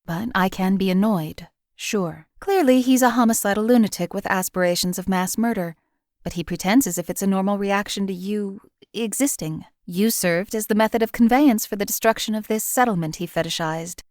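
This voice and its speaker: A woman's voice: mid-range voice, normal speed, darkish tone, no echo, close to an everyday voice. A woman voicing a cold, sardonic female assassin companion and former slave, who speaks in a dry, cutting, precise and condescending way. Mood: irritated. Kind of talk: game companion voice lines.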